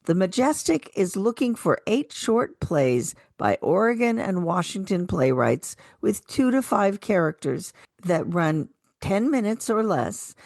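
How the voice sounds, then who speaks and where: A woman's voice: medium pitch, sing-song, measured pace, gravelly, few pauses, darkish tone, no room sound, studio quality. A woman co-hosting a radio show, reading scripted community calendar announcements.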